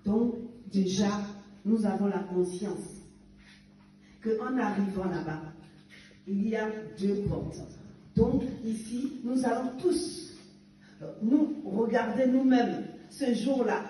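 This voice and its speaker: A woman's voice: on the high side, slow, long pauses, mellow, a little hiss or hum. A woman in her fifties giving a fervent testimony and exhortation in church, with emphatic rising bursts. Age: 50s. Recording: echoey church hall picked up from a distance.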